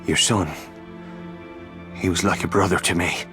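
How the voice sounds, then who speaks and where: A male actor playing a tough, sardonic, vengeful Irish mechanic in a video game, with an Irish accent and a gruff, defiant manner. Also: deep voice, little pitch movement, measured pace, sharp tone. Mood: grim grief. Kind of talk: dramatic video game acting. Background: music.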